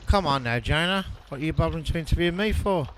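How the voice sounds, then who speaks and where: An actor playing a cheeky, grumbling, champagne-loving sidekick in a comic audio drama. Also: male voice, on the high side, animated, fast, very noisy, natural voice. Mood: indignant.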